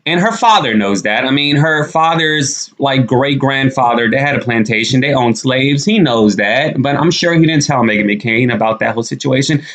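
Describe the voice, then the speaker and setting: A man's voice: mid-range voice, normal speed, few pauses, fairly bright tone. A young man from the United States giving blunt, emphatic reaction commentary on his vlog, repeating points and swearing freely. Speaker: commentary vlogger.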